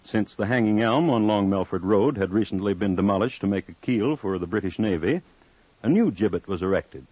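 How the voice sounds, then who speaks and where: An actor playing a pompous, genteel crime historian, narrating in a measured, formal 1950s radio style. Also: male voice, fairly low pitch, husky, dry recording. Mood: dryly formal. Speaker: host-narrator. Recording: dry, muffled old radio recording.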